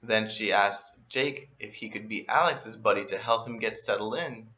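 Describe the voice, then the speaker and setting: A man reading a children's story aloud in an animated, expressive way, as a storyteller. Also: fairly low pitch, normal speed, muffled recording. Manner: expressive, acts out emphasis and exclamations.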